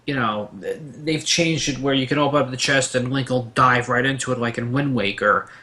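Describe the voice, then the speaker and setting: A man's voice: mid-range voice, moderate pace, sharp tone. A man in a casual gaming discussion, giving opinions in a rambling, storytelling way as a panelist.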